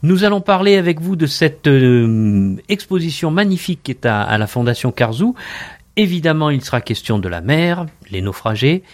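A man's voice: fairly high pitch, sing-song, normal speed. A man from the Mediterranean hosting a radio interview, asking questions with the occasional filler. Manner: warm, admiring questions with some fillers. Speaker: radio host.

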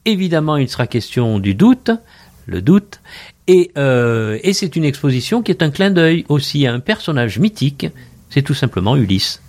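Mid-pitched man hosting a radio interview. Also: big pitch swings, normal speed. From Mediterranean. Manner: warm, admiring questions with some fillers. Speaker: radio host.

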